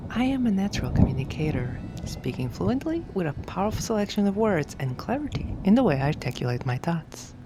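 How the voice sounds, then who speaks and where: A woman reads scripted affirmations for sleep hypnosis in a measured, deliberate way, phrase by phrase. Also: medium pitch, sing-song, heavy background noise. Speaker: affirmations narrator. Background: background music.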